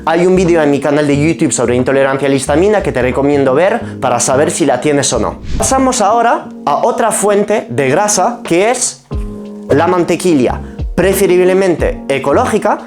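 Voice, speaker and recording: A man's voice: fairly high pitch, expressive, normal speed, darkish tone, soft background music. A man from France with a French accent in his Spanish, a pharmacist explaining his diet to camera, asking and answering his own questions. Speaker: pharmacist and nutrition YouTuber. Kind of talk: explanatory nutrition talk to camera.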